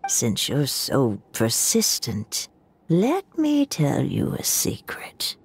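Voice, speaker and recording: A woman voicing a wise, grave seer of fates in a video game, speaking in a measured, solemn hush. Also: rumbling low, huge pitch swings, gravelly, slight background noise, full character voice. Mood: solemn, knowing.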